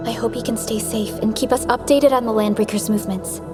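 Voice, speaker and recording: A woman playing a composed, dutiful young supervisor with a measured, formal manner in a video game. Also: a little high, fairly even intonation, over music, lightly acted. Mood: composed, concerned. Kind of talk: video game character acting.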